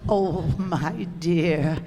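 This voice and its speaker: Woman acting elderly widow, slow and flustered, formal wording, wistful and indignant, radio drama, echoing hall, noisy. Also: on the low side, dark tone. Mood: gently chatty. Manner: flustered, stammering, formal old-fashioned speech. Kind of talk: stage radio-play acting. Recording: echoey hall, noisy live stage recording.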